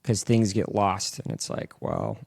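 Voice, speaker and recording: A man's voice: fairly low pitch, very animated, unhurried, vocal fry, darkish tone, breathy edge, no echo, clean studio sound. A young man chatting casually as a podcast guest, using slang and swearing freely. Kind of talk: casual chat.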